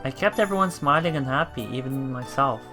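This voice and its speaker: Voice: medium pitch, a little fast. A person doing a casual let's play, reading visual novel narration aloud and commenting on it, with game music behind.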